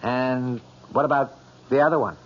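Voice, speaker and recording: An actor playing a concerned friend in an old-time radio drama, questioning and gently reproachful. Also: male voice, mid-range voice, fairly even intonation, moderate pace, natural voice. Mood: probing. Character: concerned, probing friend of the bridegroom. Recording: muffled old radio, faint noise.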